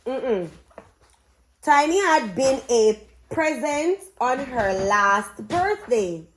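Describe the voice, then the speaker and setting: A woman's voice: on the high side, lively intonation, drawn-out words, slight background noise, low-quality recording. A woman with a Jamaican accent chatting bluntly and reading aloud to children like a parent vlogging at home, stopping to instruct and quiz them. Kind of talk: casual vlog chat and interactive read-aloud.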